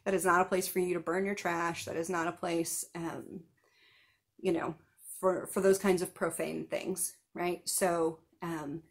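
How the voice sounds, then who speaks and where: A woman's voice: fairly low pitch, flat delivery, measured pace. A woman in her thirties giving numbered tips in a casual chat to camera, seated on a couch at home, with small laughs and asides. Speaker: vlogger giving festival tips.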